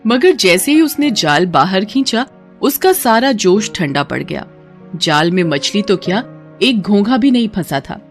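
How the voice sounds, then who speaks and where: A storyteller narrates a scripted cartoon tale in a mid-pitched voice. Music plays behind the narration. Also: big pitch swings, a little slow.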